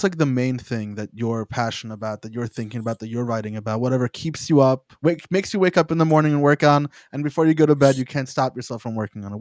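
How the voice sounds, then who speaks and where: A man's voice: medium pitch, no echo, clean studio sound. A man from Israel hosting a podcast, talking casually and using lots of "like". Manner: quick, uses filler "like" often. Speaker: podcast host.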